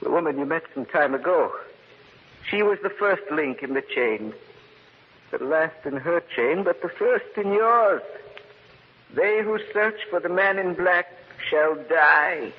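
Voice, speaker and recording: A man's voice: fairly high pitch, lively intonation, a little slow. An actor playing an eerie, formal old man who serves an unseen sinister master in an old radio horror play. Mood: ominous. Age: older. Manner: ominous, portentous, formal. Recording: lo-fi, noisy old radio recording.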